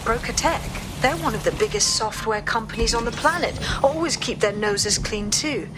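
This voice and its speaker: A woman's voice: on the high side, normal speed, noisy background, natural voice. Actress as a practical British hacker woman. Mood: concerned. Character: level-headed female resistance hacker giving plans. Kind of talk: scripted video game acting.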